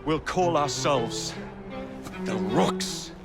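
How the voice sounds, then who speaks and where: An actor playing a cocky, brash young assassin brother in a video game, with an English accent and a swaggering, teasing manner. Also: male voice, a little high, expressive, very slow, heavily acted. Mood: boastful. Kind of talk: video game cutscene acting. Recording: music and street ambience behind.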